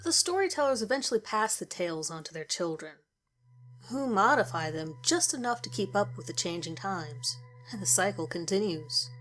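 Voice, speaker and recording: A woman reads a first-person horror story as narrator, in measured, deliberate phrases with pauses. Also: medium pitch, animated, fairly bright tone, low-quality recording. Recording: music under the voice. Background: background music.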